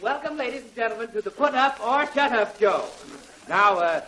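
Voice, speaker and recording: A man's voice: very high-pitched, animated, normal speed, pushed and bright, heavily acted. A man playing a showy announcer introducing a quiz show in 1940s radio style. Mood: welcoming. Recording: very noisy 1940s radio recording.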